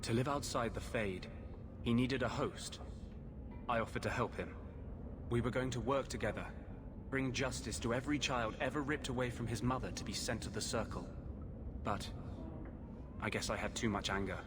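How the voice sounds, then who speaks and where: An actor playing a tormented healer mage who is possessed by a vengeful spirit, speaking with brooding, earnest intensity. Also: male voice, mid-range voice, moderate pace, long pauses, background noise, natural voice. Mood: somber, confessional. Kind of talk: dramatic video game acting.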